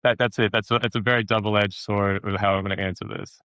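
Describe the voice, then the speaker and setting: A man chatting casually as an interview guest, telling stories in an animated way, repeating words for emphasis and acting out quotes. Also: fairly low pitch, quick pace, smooth, dry recording. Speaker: actor being interviewed.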